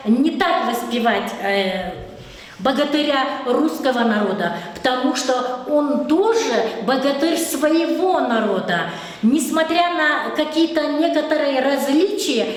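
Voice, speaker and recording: A middle-aged woman teaches a class in a high, bright voice. She keeps asking the pupils questions and praising their answers. The sound rings around the room and reaches a distant camera mic. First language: Lezgian. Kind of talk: classroom lesson. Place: echoey classroom. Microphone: far camera. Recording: echoey room picked up from far off.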